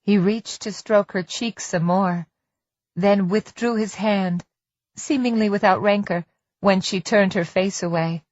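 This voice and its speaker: A woman's voice: medium pitch, measured pace, darkish tone, no echo. A woman reading a suspense audiobook as narrator in a scripted storytelling style. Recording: dull lo-fi dry recording.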